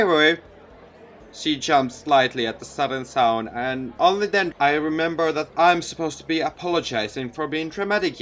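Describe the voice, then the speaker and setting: A man reading a visual novel aloud as a let's play, sometimes stumbling over lines and correcting himself. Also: a little high. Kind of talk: let's play read-aloud narration with asides.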